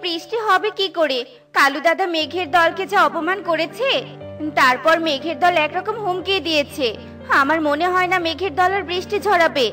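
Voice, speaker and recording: A woman's voice: very high-pitched, normal speed, bright, forceful tone, faint music behind. A woman voicing a fussing mother bird in a children's cartoon. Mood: matter-of-fact. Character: fussing mother bird calling her daughter.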